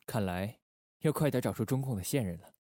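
Brief: male actor as shrewd, composed spy station chief, medium pitch, dry studio sound, period radio drama. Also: animated, a little fast, mellow, no echo, close to an everyday voice. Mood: pensive, commanding. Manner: composed, understated authority.

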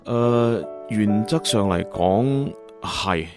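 An actor with a Hong Kong Cantonese accent playing a cocky, boastful young agent from the future in a radio drama. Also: male voice, lowish voice, very slow. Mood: calm, serious. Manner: animated, boastful storytelling. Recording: music behind the voice. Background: background music.

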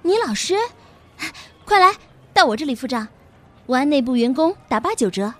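A woman playing a perky, bossy young friend of a student in an audio drama, excitable and exclamatory. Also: sits high, normal speed, exaggerated voice. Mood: perky, dramatic. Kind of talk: Mandarin audio drama acting. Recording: music bed under the voice.

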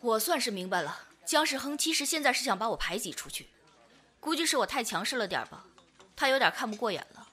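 A woman's voice: on the high side, sing-song, fast, sharp tone, breathy edge, heavily acted. A woman acting a strong-willed businessman in his early thirties in a Chinese radio drama. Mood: calm, scheming.

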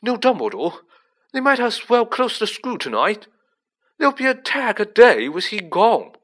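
A man voicing a worried, gloomy schoolboy in a storybook, hoarse and dismayed. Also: high-pitched, very animated, normal speed, fairly bright tone, pitched above the performer's own voice, full character voice.

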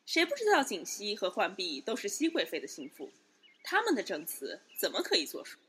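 Woman doing spiteful young concubine, scornful, swoops way up high, bit husky, palace audio drama. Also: huge pitch swings, fairly quick, a bit bright, exaggerated voice. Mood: scornful accusation. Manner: scornful, emphatic. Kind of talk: Chinese period audio drama acting.

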